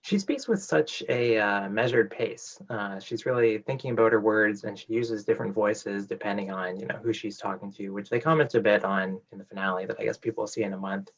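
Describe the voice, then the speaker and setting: A man's voice: fairly low pitch, brisk, few pauses. A man interviewing an actor, asking thoughtful questions with some "uh" fillers. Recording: dull lo-fi audio.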